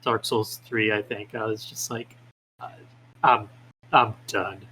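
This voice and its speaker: Guy being interviewed on a podcast, bright forward voice, kinda halting, bit of background noise. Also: fairly low pitch, fairly even intonation, rough recording. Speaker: podcast guest, independent game developer. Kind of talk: casual interview chat. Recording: clear background noise, room ambience.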